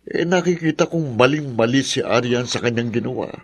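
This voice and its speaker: Man acting older father, mid-pitched voice, disgruntled, weary, grumbling, radio drama. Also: a little fast, lightly acted. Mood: grumbling, resentful.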